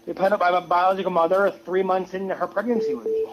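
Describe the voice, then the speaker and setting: A man giving an opinionated, casual rant on a livestream, halting and often repeating his words. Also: sits high, fairly even intonation. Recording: muffled lo-fi audio with noise.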